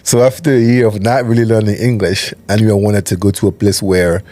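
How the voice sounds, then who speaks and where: Calm man, lowish voice. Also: fairly quick. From Cameroon. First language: French. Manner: calm, methodical, explains step by step. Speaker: guest, nonprofit CEO. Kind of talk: interview conversation.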